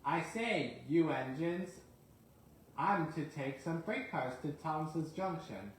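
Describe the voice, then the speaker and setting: Cheeky boastful boy engine, acted by a man, storybook character, teasing, lifted pitch, very echoey room. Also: lively intonation, slow pace, slight background noise. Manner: teasing, self-important. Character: cheeky, boastful young male steam engine.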